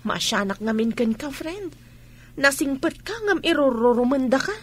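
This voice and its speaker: A woman's voice: sits high, lively intonation, moderate pace, slight background noise. A woman acting a concerned, supportive friend and teacher in a radio drama. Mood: sympathetic.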